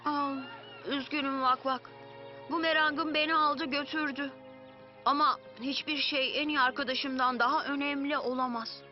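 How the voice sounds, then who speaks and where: A woman voicing an excitable, caring young boy hero in an animated children's cartoon dub, earnest and exclamatory. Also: high voice, normal speed, natural voice. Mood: apologetic. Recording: dull lo-fi audio with music. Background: cartoon music.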